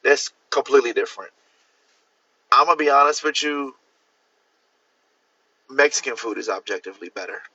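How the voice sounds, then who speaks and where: A man from Miami chatting casually on a livestream, rambling with restarts and jumping up in pitch to stress a point. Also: moderate pace, pauses often, bright, forceful tone, husky, no room sound, highs cut off. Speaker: author hosting a livestream. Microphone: phone or computer mic.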